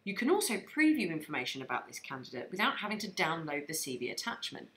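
Lively woman narrating a software tutorial. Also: low-pitched, fast talker. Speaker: software trainer.

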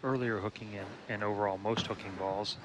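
Male bowling analyst, low-pitched, explanatory tone, noisy broadcast bed. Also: fairly even intonation, a little fast, over a noisy crowd. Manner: technical, explanatory, with frequent fillers. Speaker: color commentator. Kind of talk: analytical sports commentary. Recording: loud broadcast background noise.

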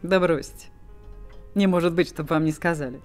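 An actress in her forties playing a poised, bitter abandoned wife in a film drama, with a measured, theatrical delivery. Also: lowish voice, darkish tone, soft background music, close to an everyday voice. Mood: bitter, ironic. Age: 40s.

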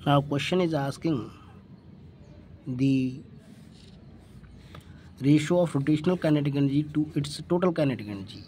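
A man with an Indian accent tutoring physics, working through a problem step by step in deliberate, halting phrases. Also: on the high side, mellow, slight background noise. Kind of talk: step-by-step worked-problem lesson.

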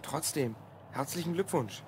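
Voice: medium pitch, expressive, a little slow, a little mellow, slight background noise, lightly acted. A performer playing a secretive boy of twelve from the future in an audio drama, explaining things confidingly. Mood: urgent. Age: child character, twelve. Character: Rhonn, secretive stranded boy time traveller from the future. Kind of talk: German audio drama acting.

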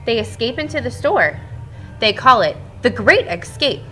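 A woman's voice: a little high, moderate pace, faint music behind, voice pushed up. A woman doing a chatty little egg guy. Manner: bouncy, expressive storytelling. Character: chatty cheerful male egg, fond of quiet. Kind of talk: storybook read-aloud.

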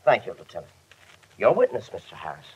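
An actor playing a stern, badgering courtroom prosecutor in a 1950s radio drama, with formal, pointed questioning. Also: male voice, low voice, wildly swooping pitch, fast, slight background noise. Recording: dull vintage 1950 radio recording.

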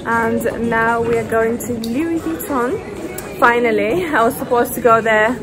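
A woman's voice: on the high side, unhurried. A young woman chatting casually and enthusiastically to camera as she vlogs a shopping trip. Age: young adult. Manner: enthusiastic, gushing. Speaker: fashion vlogger. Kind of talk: casual shopping vlog chat. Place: inside a large department store and boutiques. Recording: busy store background, music playing.

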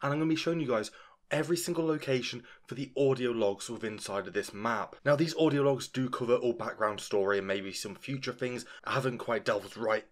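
A man's voice: medium pitch, fairly quick. A man giving a casual gaming guide voice-over as a video creator, speaking directly to viewers.

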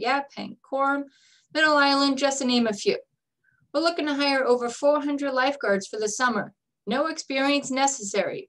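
A woman presenting a scripted recruitment pitch to students as a staffing director, reading lists in a brisk upbeat tone. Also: a bit bright.

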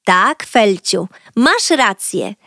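A woman narrating a children's audiobook as a cheerful young girl, talking brightly and directly to young listeners. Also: medium pitch, big pitch swings, drawn-out words, creaky, no room sound, studio quality. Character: cheerful young girl telling her own story. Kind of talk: first-person storybook narration for children.

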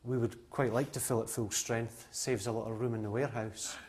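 A man's voice: medium pitch, fairly even intonation, fairly quick, darkish tone, breathy edge. A man in his thirties explaining his distillery plainly, with a lot of "uh". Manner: plain, matter-of-fact explanations with frequent "uh". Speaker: distillery owner. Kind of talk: explaining on a tour. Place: indoors in a distillery still house and a cask warehouse.

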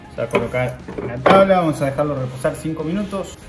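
A man in his thirties with an Argentine accent, hosting a cooking show and calmly talking viewers through each step as he works. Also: fairly high pitch, quick pace, loud music behind the voice. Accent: Argentine Spanish. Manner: talks viewers through steps while working with his hands. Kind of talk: casual cooking demonstration. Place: outdoor grill patio.

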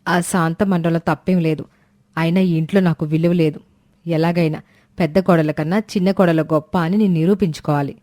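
A proud young daughter-in-law who takes every remark to heart and complains earnestly, voiced by a woman. The voice is fairly low and plain. The sound is dry and close. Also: fairly even intonation, brisk, dark tone, no echo, close to an everyday voice. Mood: brooding.

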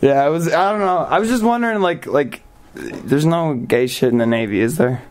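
Young man, slightly high pitch, stammering, hesitant, naive distressed act, prank caller. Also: lively intonation, moderate pace, slight background noise. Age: young adult. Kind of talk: improvised prank phone call in character. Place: small bedroom, indoors.

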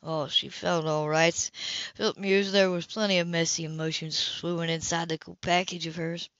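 A woman's voice: on the low side, little pitch movement, normal speed, a bit bright, no echo, highs cut off. A woman narrating a novel as an amateur reader, now and then stumbling and rereading words. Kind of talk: scripted fiction narration.

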